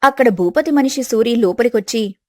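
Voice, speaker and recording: A woman's voice: a little high, lively intonation, moderate pace, warm tone, no room sound, studio quality. A woman narrating a scripted family story as a storyteller.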